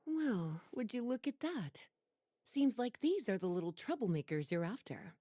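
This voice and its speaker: A woman playing a languid, teasing adult librarian in a video game, poised and breathy. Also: on the high side, sing-song, moderate pace, mellow, no echo. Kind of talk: animated video game voice acting.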